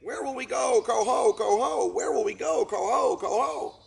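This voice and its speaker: A man voicing a chorus of excited young cygnets who squeal and chant in sing-song. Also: extremely high pitch, normal speed, creaky, voice pushed up, exaggerated voice.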